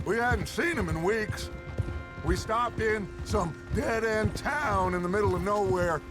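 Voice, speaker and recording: A slow, theatrical American outlaw leader. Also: male voice, high voice, music in the background. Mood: amused storytelling. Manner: theatrical, grandiloquent, unhurried. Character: grandiloquent, wry middle-aged male outlaw leader. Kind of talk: cinematic video game acting.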